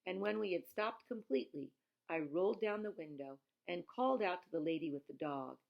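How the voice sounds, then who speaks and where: Low-pitched woman reading a story aloud. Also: expressive, a little fast, gravelly, muffled recording. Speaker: reader of a children's novel who pauses to explain words. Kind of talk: expressive read-aloud storytelling with informal asides.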